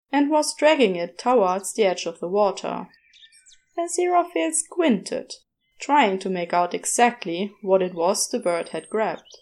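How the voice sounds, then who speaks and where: A woman reading a story aloud as narrator, in an unhurried, measured way. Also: a little high, a little mellow.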